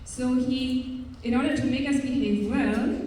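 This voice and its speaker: A woman's voice: sits high, steady tone, dark tone. A woman with a German accent, a religious sister giving an earnest, hesitant faith testimony to young people at a live event. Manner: earnest, hesitant, with frequent pauses and fillers. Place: large hall at a youth event. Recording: echoey hall, voice from far off.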